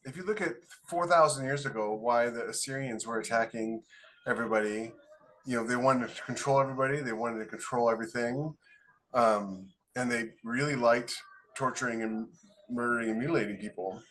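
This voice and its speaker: A man's voice: mid-range voice, fairly quick, a bit bright, low-quality recording. A man in a casual panel discussion, talking as a podcast co-host.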